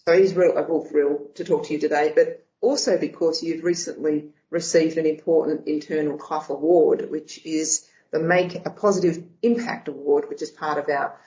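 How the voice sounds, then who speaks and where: A woman's voice: sits low, steady tone, moderate pace, hardly any pauses, warm tone. A woman hosting a podcast interview, enthusiastic and effusive as she welcomes and questions her guest. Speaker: podcast host. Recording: roomy, slightly dull sound.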